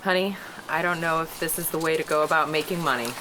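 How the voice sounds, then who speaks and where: Brash flirty biker gal read by a woman, low voice, wry banter, script read in a clearly noisy room. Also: fairly even intonation, fast, natural voice.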